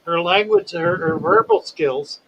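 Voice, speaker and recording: An older man hosts a relaxed live chat with a slightly high voice. He takes his time, choosing his words slowly and carefully, with a wordy, storytelling manner. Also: slight background noise, poor audio. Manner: slow, wordy, deliberate storytelling. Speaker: livestream host. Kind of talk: casual chat.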